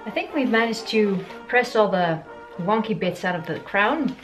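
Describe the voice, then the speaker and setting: A woman's voice: medium pitch, animated, normal speed, music in the background. Woman in her 30s doing a crafty vlog in her living room, chatty, laughs at her own skills. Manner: chatty and self-deprecating, wondering aloud. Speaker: sewing vlogger. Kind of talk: casual tutorial talk to camera.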